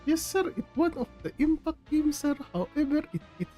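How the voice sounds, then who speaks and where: High mellow woman streaming in character. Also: big pitch swings, fairly quick, faint music behind. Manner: repeats words, speaks of self in third person with quaint broken grammar. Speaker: game streamer. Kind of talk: livestream commentary in a put-on character persona.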